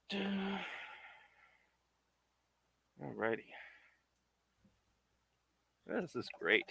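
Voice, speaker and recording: A man making puppets on a casual livestream, muttering quietly to himself as he works at his bench. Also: mid-range voice, gravelly, slightly rough. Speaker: puppet maker streaming his work. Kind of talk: casual livestream chat while crafting. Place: home work bench.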